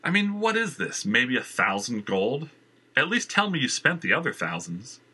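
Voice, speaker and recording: Brief: male narrator doing a smug, lilting theater boss, husky and bright, storybook reading. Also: medium pitch, very animated, normal speed, pitched above the performer's own voice, heavily acted. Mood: mocking. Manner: mocking, falsely wounded.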